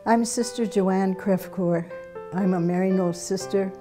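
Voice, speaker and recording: Eighties woman, reflective, flat mellow delivery, faint guitar underneath. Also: mid-range voice, measured pace. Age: 80s. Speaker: retired nun. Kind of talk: documentary interview. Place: indoor room with bookshelves.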